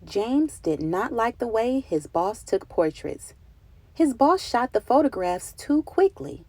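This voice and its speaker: A woman reading a picture book aloud for children, with expressive, deliberate phrasing and dramatic emphasis. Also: fairly high pitch, no room sound. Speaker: children's read-aloud narrator. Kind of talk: expressive storybook narration for children.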